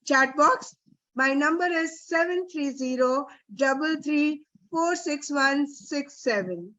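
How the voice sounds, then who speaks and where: High-voiced Indian woman trainer. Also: fairly bright tone. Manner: encouraging, emphatic with repeated phrases. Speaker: guest trainer leading an online teacher workshop. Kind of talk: informal motivational closing talk to trainees. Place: online video session. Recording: dull, lo-fi online call audio.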